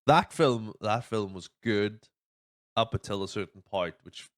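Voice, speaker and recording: A man's voice: lowish voice, a little slow. Sweary man chatting about films. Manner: sweary, animated retelling of a film plot. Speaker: podcast host. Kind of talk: casual film podcast chat.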